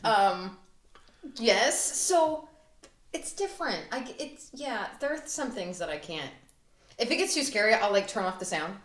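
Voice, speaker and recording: A woman chatting casually as a podcast guest, informal and halting in her delivery. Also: medium pitch, big pitch swings, fairly bright tone. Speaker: guest actor on a radio play podcast. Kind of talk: casual chat. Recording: roomy, echoey indoor sound.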